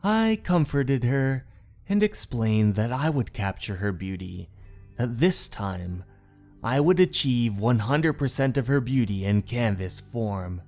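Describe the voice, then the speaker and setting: Male narrator as obsessed painter, horror story, slow delivery, brooding, theatrical, medium pitch, background music. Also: expressive, a little mellow. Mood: infatuated. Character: obsessed painter, unhinged, infatuated, confessing a murder. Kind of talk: dramatic first-person horror story narration.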